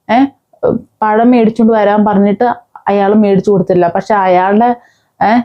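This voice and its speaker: A woman vlogger, giving angry, scolding commentary with many pauses and restarts. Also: medium pitch, dull audio. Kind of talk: angry commentary on a news case.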